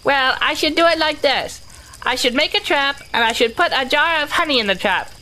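A woman voicing a small, timid, eager young pig in an audio drama, fussy and quick to chime in. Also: high voice, lively intonation, bright, forceful tone, slight background noise, rough recording.

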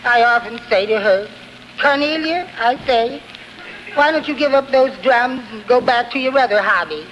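An actor playing a meek, henpecked husband in 1940s radio comedy, timid, mild and genteel in manner. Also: male voice, extremely high pitch, normal speed, fairly bright tone, lo-fi sound. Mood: mournfully droll. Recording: noisy old 1940s radio broadcast.

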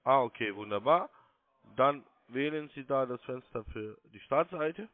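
A man's voice: medium pitch, lively intonation, unhurried, no echo. Insistent man on a muffled phone line. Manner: insistent, repeats himself. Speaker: call centre sales agent. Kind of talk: phone sales instructions. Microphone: telephone call.

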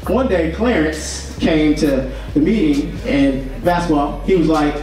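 Young man doing loud stand-up in an echoey club. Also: a little high, unhurried, soft background music. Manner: loud, animated, punchy delivery with shouted bits. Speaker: stand-up comedian. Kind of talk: stand-up comedy set. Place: comedy club stage. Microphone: handheld mic. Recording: echoey club heard from far off. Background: crowd laughing.

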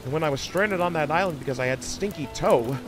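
A man voicing a loving, insecure teenage male beaver in a fan fiction reading, earnest and gentle. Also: on the high side, quick pace, lightly acted. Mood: earnest, vulnerable. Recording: music behind the voice.

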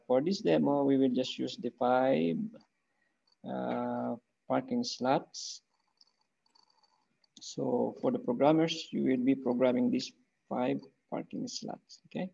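Gravelly-voiced man explaining a wiring layout. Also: medium pitch, mellow. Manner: methodical, frequent "okay?" check-ins. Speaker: channel host demonstrating an electronics project. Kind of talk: screen-share technical walkthrough. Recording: dull, muffled lo-fi audio.

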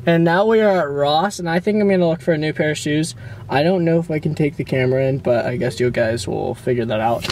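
A man's voice: fairly high pitch, fast talker, a little mellow, recorded from a distance, slight background noise. A teenage guy talking casually to a handheld camera in a car at night, upbeat and rambling with lots of fillers. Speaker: vlogger. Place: inside a parked car at night. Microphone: handheld camera at arm's length.

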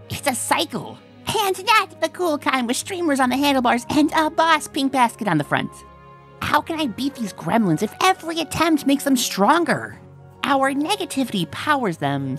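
A woman's voice: high voice, fairly bright tone, heavily acted. A woman voicing a brash, cocky young female pony hero in a comic dub, boastful, impatient and dramatic. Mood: dejected, determined.

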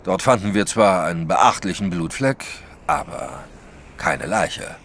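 An actor playing an excitable, emphatic French detective, baffled by a case, in a radio play. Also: male voice, sits low, measured pace, slight background noise, heavily acted. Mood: puzzled, insistent. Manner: dramatic, emphatic.

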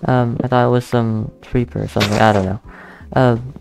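A young man who is not a native English speaker, hosting a casual gaming let's play, thinking aloud with hesitant fillers and counting softly to himself. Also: medium pitch, little pitch movement, normal speed, creaky, background noise. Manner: hesitant, thinking aloud, counting under his breath, nervous laughs, easily spooked. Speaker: gaming channel host. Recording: faint background music under the voice. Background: soft background music.

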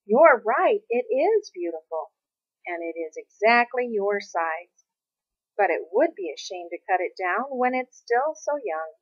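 A woman voicing a kindly father in a storybook, reasoning gently and enthusiastically with his young son. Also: medium pitch, sing-song, a little slow, slightly rough, no echo, muffled recording. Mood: warm, encouraging.

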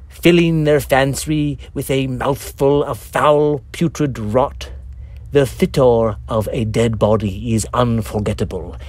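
Slow, gravelly male storyteller. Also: mid-range voice, darkish tone, no room sound. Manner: theatrical, deliberate, relishing macabre wordplay. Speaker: author reading own story. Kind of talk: scripted dark-comedy story narration.

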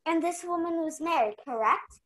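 A young woman playing a brisk, bossy investigator in a mystery radio play, formal and officious. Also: very high-pitched, lively intonation, fairly bright tone. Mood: stern. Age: young adult. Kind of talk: stage-style mystery radio play acting.

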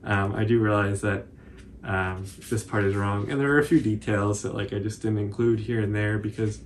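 A man's voice: lowish voice, steady tone, normal speed, slight background noise. A young man explaining casually as a tutorial co-host, in loose, hesitant, self-deprecating phrasing. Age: young adult. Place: small furnished room indoors, on a couch.

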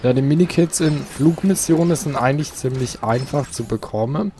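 A man's voice: mid-range voice, moderate pace, warm tone, a little hiss or hum. A young man casually chatting and muttering as he plays through a video game. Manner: chatty, easygoing, mutters while playing. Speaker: let's player. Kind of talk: casual gameplay commentary.

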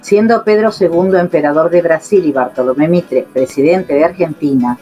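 A woman giving measured, solemn scripted narration for a radio drama. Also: low voice, warm tone, dull audio, soft background music.

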